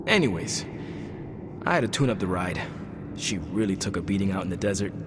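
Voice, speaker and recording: A man's voice: sits low, animated, brisk, noisy background. A young man playing a brash, earnest superhero in a video game, talking in a casual, slangy way. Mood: candid, unsure. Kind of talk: conversational video game acting. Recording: voice under clear background music.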